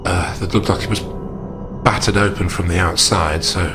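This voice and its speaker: A man playing a polite, grave investigator in a tabletop game, measured and understated. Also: low-pitched, steady tone, over music, natural voice. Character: polite, grave male investigator reporting grisly findings.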